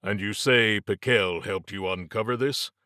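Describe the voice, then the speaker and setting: A man's voice: fairly low pitch, a little slow, pushed and bright, no room sound, clean studio sound. A man voicing a blunt, wary male investigator in an audiobook, with a direct, terse manner. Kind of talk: audiobook character acting.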